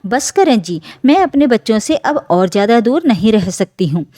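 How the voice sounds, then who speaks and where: A woman voicing a soft-hearted elderly mother and grandmother in a story, emotional and imploring. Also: on the high side, very animated, normal speed, no room sound, studio quality. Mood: pleading.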